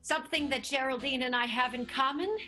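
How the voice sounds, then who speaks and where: A woman playing a sullen, guilty rival lover in an improvised radio soap, halting and understated. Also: sits high, steady tone, pushed and bright, faint music behind. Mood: quietly menacing.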